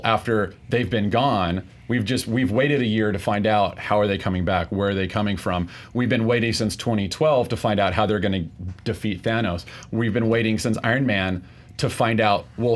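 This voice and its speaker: A man debating pop culture casually as a show contestant, making his case emphatically and repeating phrases for stress. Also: lowish voice.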